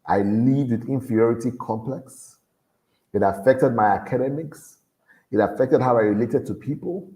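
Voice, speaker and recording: A man with a deep voice, giving an earnest motivational talk to young people and repeating his key lines for emphasis. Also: moderate pace, dark tone, low-quality recording. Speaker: motivational speaker at a youth event.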